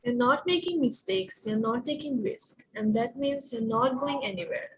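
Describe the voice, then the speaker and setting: A woman's voice: high-pitched, normal speed, faint music behind, rough recording. A young woman hosting a formal academic ceremony, delivering an elaborate and ornate welcome. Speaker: event host.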